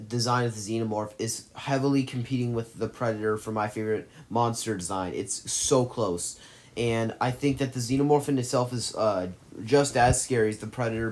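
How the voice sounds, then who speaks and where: A man giving casual, effusive commentary on his favorite movies, rambling and correcting himself as he goes. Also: mid-range voice. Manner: gushing, effusive praise. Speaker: movie list vlogger.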